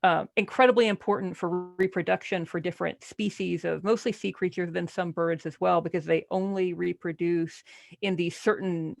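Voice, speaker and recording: A podcast panelist talking casually through a book, questioning it with animated incredulity and frequent restarts. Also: medium pitch, normal speed, creaky, dry recording.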